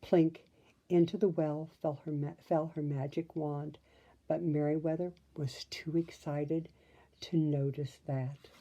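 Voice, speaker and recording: A woman reads a children's storybook at an unhurried pace. Her voice is very mellow and a little low, expressive in narration, with asides to the listener. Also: lo-fi sound. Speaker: storybook reader.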